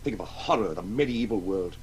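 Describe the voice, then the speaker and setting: Male actor as eccentric British intellectual, brooding, argumentative, lively pitch swings, radio play, faint noise. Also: fast talker, close to an everyday voice. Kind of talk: 1980s radio drama acting.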